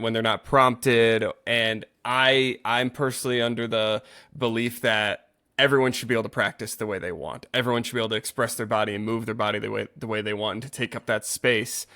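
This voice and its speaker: A man's voice: medium pitch, sharp tone. Earnest man chatting on a casual podcast. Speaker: yoga teacher and podcast co-host. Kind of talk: casual conversational podcast chat.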